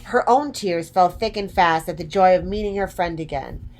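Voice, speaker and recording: A woman's voice: fairly low pitch, normal speed, darkish tone, low-quality recording. A woman storyteller narrating a children's storybook expressively.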